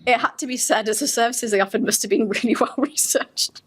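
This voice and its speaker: A woman's voice: fairly high pitch, fairly quick, husky. A woman co-hosting a casual podcast, reading out reviews and prone to breaking into giggles. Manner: giggly, easily breaks into laughter. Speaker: podcast co-host.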